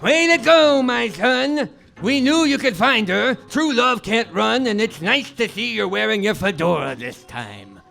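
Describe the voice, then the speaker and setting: A folksy gravelly old dad. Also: male voice, very high-pitched, animated, measured pace, bright, forceful tone, faint music behind, heavily acted. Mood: cheerful. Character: doting dimwitted old father. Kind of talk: live comedic radio-play reading.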